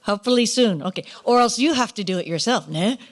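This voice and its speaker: A woman hosting a live awards ceremony in a playful, animated, partly ad-libbed way. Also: a little high, fast talker. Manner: animated, playful, exclamatory asides; drops Japanese phrases into English. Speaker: ceremony emcee.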